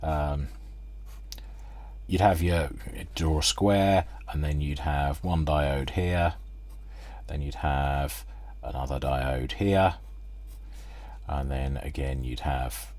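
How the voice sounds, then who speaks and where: A man's voice: very deep voice, takes it slowly, pauses often, darkish tone. A British man explaining technical work informally at a workbench, hesitant and unscripted as he thinks aloud. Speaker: radio restoration hobbyist presenting a workbench video. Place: indoor workbench, close dry sound.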